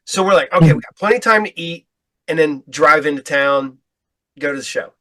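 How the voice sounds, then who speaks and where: A man's voice: a little high, fairly quick, pushed and bright, no echo, rough recording. A man in his forties hosting a casual podcast chat, telling a long rambling story with frequent restarts. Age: 40s. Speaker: podcast host.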